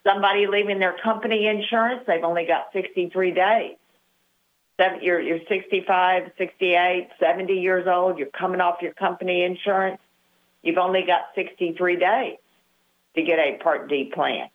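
A woman's voice: lowish voice, fairly even intonation, normal speed. Woman in her sixties on a tinny phone line. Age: 60s. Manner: matter-of-fact, explanatory. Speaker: guest Medicare advisor. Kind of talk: phone-in interview.

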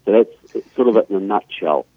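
A man's voice: on the low side, lively intonation, fairly quick, husky, no room sound. A man with a New Zealand accent, a guest author explaining his background steadily over a phone line, with some fillers. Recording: tinny phone line, dry.

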